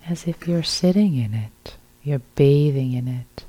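Very dark, hushed woman guiding meditation. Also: rumbling low, lively intonation, measured pace. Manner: gentle, hushed, sparse short phrases with long silences. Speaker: meditation teacher. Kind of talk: guided meditation.

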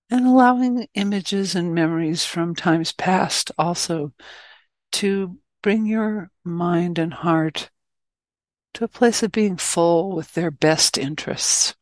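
A woman leading a guided loving-kindness meditation as a teacher, speaking gently and in measured phrases with long pauses. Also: lowish voice, no echo.

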